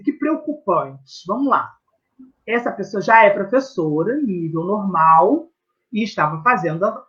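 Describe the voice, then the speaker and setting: A man's voice: extremely high pitch, fairly bright tone. Reflective Brazilian man, dull lo-fi sound. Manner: reflective, emphatic, frequent tag questions. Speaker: researcher and teacher guest. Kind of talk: explaining his research in conversation.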